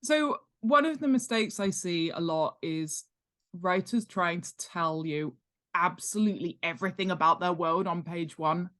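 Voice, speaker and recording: A woman's voice: fairly low pitch, normal speed, a bit bright. A woman chatting casually as a guest expert in an interview, in a chatty, jokey and emphatic way. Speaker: guest author and world-building expert. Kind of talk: casual podcast interview chat.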